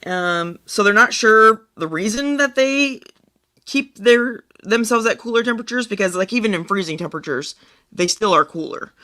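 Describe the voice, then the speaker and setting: A man's voice: sits high, lively intonation, moderate pace, bright, forceful tone. A man co-hosting a podcast, relaying things in an informal way and thinking aloud as he goes. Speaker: podcast co-host. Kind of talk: casual chat, relaying a science story.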